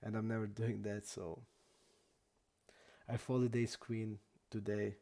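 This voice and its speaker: Deep gravelly man streaming. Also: level tone, warm tone. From Croatia. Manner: halting non-native English, many restarts and fillers. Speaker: poker streamer. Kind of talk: casual live-stream chat while playing poker.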